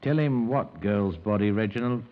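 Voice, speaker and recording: A patient police sergeant played by a man in a vintage radio crime drama. He is low-pitched, gruff and terse, prompting steadily. The sound is dull and narrow. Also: animated, moderate pace, natural voice. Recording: dull, band-limited 1950s radio recording.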